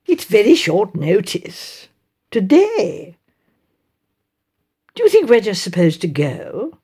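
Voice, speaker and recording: A woman's voice: mid-range voice, wildly swooping pitch, slow, warm tone, slightly rough, full character voice. A chatty middle-aged English village mother, as a woman narrator plays her, swoops up and down as she talks. She is decided and exclaiming, every phrase firmly stressed, in an audiobook mystery. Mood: doubtful, curious.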